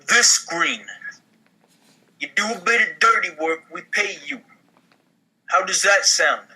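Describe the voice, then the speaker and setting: A man's voice: high voice, takes it slowly, sharp tone, slightly rough, heavily acted, poor audio. A man acting a slick, pushy, sleazy mafia recruiter in an amateur comedy table read. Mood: slick, coaxing.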